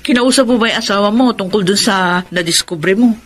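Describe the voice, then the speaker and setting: A woman's voice: mid-range voice, fairly quick, close to an everyday voice. An actress playing a nosy, concerned older female confidante in a Filipino radio drama. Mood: probing, concerned.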